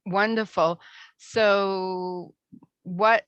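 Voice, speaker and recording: Slow, deliberate woman podcast host. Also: medium pitch, fairly even intonation, a bit bright, no room sound, lo-fi sound. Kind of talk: show opening and interview questions.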